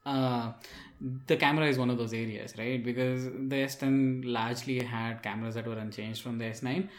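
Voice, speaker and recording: In a noticeably echoey room, a man with a medium-pitched voice talks tech as a podcast co-host. His delivery is casual, opinionated and enthusiastic. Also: steady tone, moderate pace. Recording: roomy, echoing room sound.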